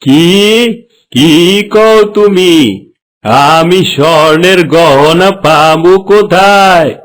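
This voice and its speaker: Man playing a high-pitched, whining old village husband. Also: a bit bright. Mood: aggrieved, lamenting. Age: older. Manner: plaintive, drawn-out wailing complaint. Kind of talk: cartoon voice acting.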